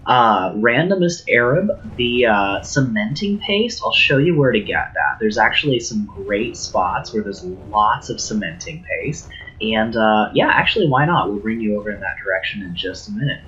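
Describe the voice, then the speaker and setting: A man streams a game tour live, chatting eagerly with his viewers. He is a little under the weather, and his voice sits fairly low. The recording is dull and lo-fi. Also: big pitch swings, moderate pace, bright, forceful tone. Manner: casual, enthusiastic, chatty with viewers. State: a little sick.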